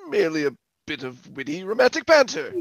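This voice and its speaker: Actor as a swooping, flustered cowardly lover. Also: male voice, sits high, sing-song, fairly quick, vocal fry, a bit bright, dry recording, exaggerated voice. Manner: stammering, theatrical. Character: nervous, stammering cowardly lover in murder farce. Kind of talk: old-time radio comedy mystery acting.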